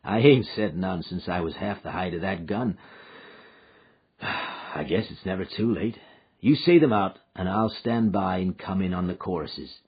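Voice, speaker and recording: A man playing a weary, husky frontier wanderer. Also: deep voice, a little slow, highs cut off. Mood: coaxing. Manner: rustic frontier dialect, soft and patient.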